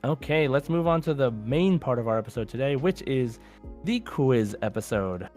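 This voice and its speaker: A man's voice: medium pitch, animated, moderate pace, a little mellow, soft background music. A man hosting a casual gaming podcast, talking in an enthusiastic, rambling way. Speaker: podcast host.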